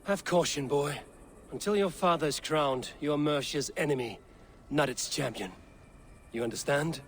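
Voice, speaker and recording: A man's voice: a little high, moderate pace, slight background noise, close to an everyday voice. A man playing a stern, terse warrior. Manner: terse, commanding. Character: stern, level-headed Viking raider, a seasoned warrior man. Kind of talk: dramatic video game acting.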